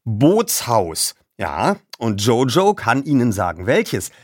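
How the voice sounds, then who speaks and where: A man's voice: mid-range voice, very animated, slow, slightly rough, dry recording, clean studio sound. A man presenting a radio play feature, talking casually and reading out plot points.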